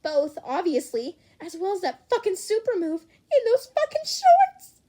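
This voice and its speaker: Woman doing swooning teen fan, dramatic, pitched way up, audiobook character. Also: wildly swooping pitch, unhurried, warm tone, exaggerated voice. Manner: gushing, dramatic. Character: gushing, swooning lovestruck teenage hero student.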